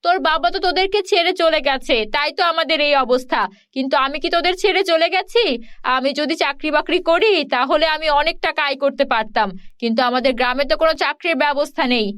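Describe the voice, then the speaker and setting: A woman's voice: sits high, fast talker, a bit bright, no room sound, clean studio sound. A woman playing a poor, proud village mother in a cartoon, firm and chiding but caring. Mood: scolding.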